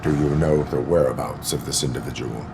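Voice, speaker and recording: A stoic machine-man of the law for a video game. The voice sits very low, with clipped, formal, deadpan phrasing. There is faint noise in the background. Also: brisk, gravelly. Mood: flat, businesslike. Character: stoic male cyborg police officer, formal and dutiful.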